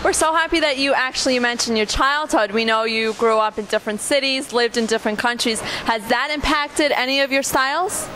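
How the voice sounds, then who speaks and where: A woman's voice: high-pitched, brisk, hardly any pauses, background noise. A woman co-hosting the show, asking friendly interview questions. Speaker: co-host. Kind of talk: casual interview talk.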